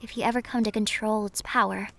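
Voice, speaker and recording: A woman playing a gentle, mysterious siren in an audio roleplay, guarded and wistful, speaking softly and with measured care, often slipping into a breathy whisper. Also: mid-range voice, steady tone. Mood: solemn warning. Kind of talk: cinematic audio roleplay acting.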